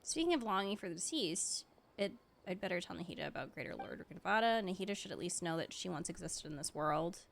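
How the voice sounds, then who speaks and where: A woman's voice: fairly low pitch, expressive, fast talker, creaky, slight background noise. A woman reading aloud the lines of a young game adventurer, in her own plain voice, with game music behind.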